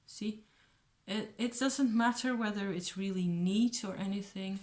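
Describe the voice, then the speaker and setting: A woman's voice: medium pitch, a little slow, darkish tone. A woman whose first language is Dutch, chatting casually to her viewers in a rambling, reflective way, full of ums, uhs and restarts. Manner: rambling, hesitant, many fillers and restarts. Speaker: vlogger. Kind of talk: casual rambling chat to camera.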